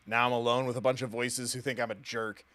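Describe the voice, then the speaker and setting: A man's voice: medium pitch, flat delivery, fast talker, a bit bright. A man doing casual, jokey and self-deprecating live commentary while playing a game. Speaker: livestreamer playing and reading aloud a visual novel.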